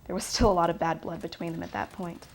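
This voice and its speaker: A woman's voice: on the low side, flat delivery, fast talker, a little hiss or hum, natural voice. A woman playing a gossipy, wryly indignant witness, telling of a family quarrel in a conversational monologue. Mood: matter-of-fact, disapproving. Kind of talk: conversational monologue told as testimony.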